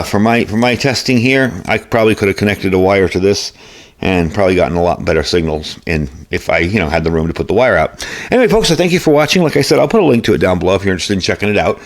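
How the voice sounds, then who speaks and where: Man, lowish voice, casual gear reviewer, conversational, measured, plain-spoken, unscripted. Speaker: host of a radio gear review channel. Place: indoor workshop at a desk.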